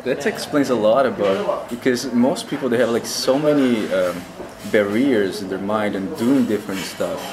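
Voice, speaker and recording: A man's voice: on the low side, moderate pace, rough recording. A man hosting a casual interview, full of "like" and "uh" fillers. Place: restaurant in Bangkok. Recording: busy crowd noise behind.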